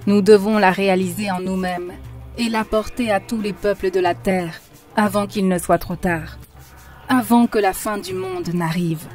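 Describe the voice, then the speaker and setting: A gentle but preachy spiritual teacher from an animated film, as a woman plays her, lecturing her listeners earnestly. Her voice is of ordinary pitch and even, with faint music in the background. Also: normal speed, lightly acted. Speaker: narrator and teacher.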